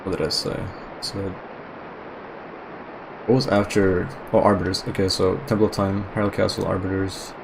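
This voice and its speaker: A man streaming a speedrun, talking in casual, rambling commentary with a monotone delivery, speaking English as a second language. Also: low-pitched, normal speed, gravelly, background noise. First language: not English. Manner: monotone, rambling, self-correcting. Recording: game music playing behind.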